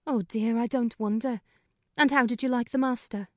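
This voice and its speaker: A man's voice: extremely high pitch, fairly even intonation, darkish tone, no room sound, studio quality, voice pushed up. A man voicing a talkative middle-aged female housekeeper in a plain, frank way in a storybook reading. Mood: chatty. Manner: brisk, talkative, plain-spoken. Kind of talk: audiobook character voice.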